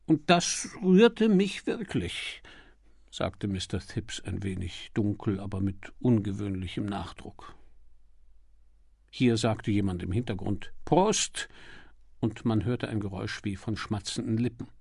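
A man's voice: on the low side, steady tone, moderate pace, creaky, darkish tone, husky, dry recording, clean studio sound. A man reading scripted crime fiction as an audiobook narrator.

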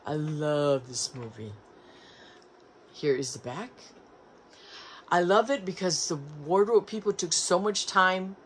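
A woman's voice: on the low side, slow pace, long pauses, a little hiss or hum. A middle-aged woman chatting through a thrift haul at home, reading back covers aloud and stumbling over names, recorded by a camera in front of her in a room lined with shelves. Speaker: collector showing a thrift haul. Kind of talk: casual haul video. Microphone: camera in front, seated in armchair.

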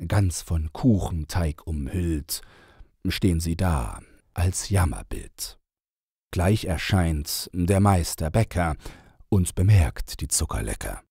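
A man narrating a storybook in verse as an audiobook reader, measured and with dramatic pauses. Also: deep voice, animated, vocal fry, dark tone, breathy edge, no room sound, studio quality.

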